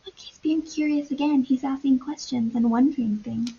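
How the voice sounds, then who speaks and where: A woman teacher doing an animated read-aloud for young children, pausing to ask questions and explain in simple, repetitive wording. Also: high-pitched, dull audio.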